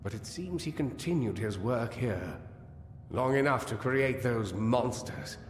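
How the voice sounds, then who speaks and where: An actor playing a weary, guilt-ridden, paranoid gnome scholar in a fantasy video game, theatrical and anguished, halting as he muses. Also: medium pitch, expressive, slight background noise, lightly acted. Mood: grave. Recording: echoey cavern-like reverb over music.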